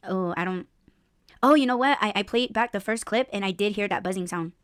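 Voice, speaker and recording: A woman hosting a podcast in casual chat, loud in tone, laughing often and swearing freely, with little bass in her voice. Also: brisk, clear, resonant tone, dry recording, studio quality. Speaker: podcast host.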